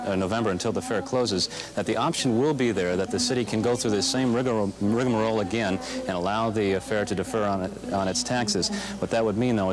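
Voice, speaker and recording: A man reporting live for television news from a council chamber in a matter-of-fact way. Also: on the low side. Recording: crowd chatter behind, local TV broadcast. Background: crowd murmur.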